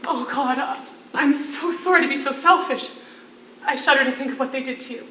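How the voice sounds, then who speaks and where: Stage role: gentle bereaved mother in captivity played by a woman, highish bright voice, emotive delivery, echoey and distant. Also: brisk. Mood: sorrowful. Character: grieving widowed mother, a gentle fellow prisoner. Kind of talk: live one-act stage play. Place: theatre stage, recorded from a distance. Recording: echoey, distant stage recording with faint noise.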